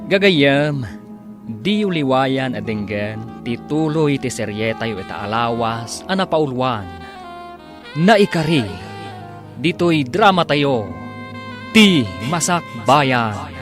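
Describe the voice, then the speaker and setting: A man narrating and announcing a radio drama serial in a scripted, dramatic style, projecting and stretching the titles for emphasis. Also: a little high. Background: music.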